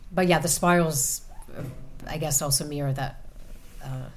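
A woman author answering audience questions about her novel, speaking thoughtfully with many pauses and restarts. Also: low-pitched, fairly even intonation, slight background noise. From United States. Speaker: author at a reading. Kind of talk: book talk and audience Q&A. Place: event room with an audience.

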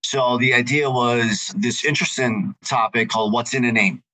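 A man from New with a bright, forceful voice recounts his upbringing as a podcast guest. He talks casually, circling back and restarting his phrases as he goes. His pitch sits in a medium range. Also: moderate pace, low-quality recording. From Queens, New York. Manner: storytelling, conversational with restarts. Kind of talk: podcast interview.

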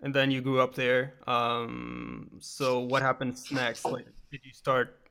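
A man's voice: medium pitch, level tone, slow, background noise. A man interviewing a guest, asking casual questions in short prompts. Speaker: interviewer, host.

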